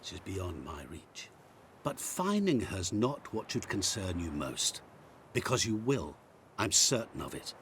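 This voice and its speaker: An actor playing a sly, calm otherworldly bargainer in a video game, speaking in measured, theatrical phrases over faint rumble. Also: male voice, exaggerated voice. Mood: smooth, knowing. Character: sinister otherworldly bargain-making man, calm, sly and knowing. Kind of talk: video game dialogue acting.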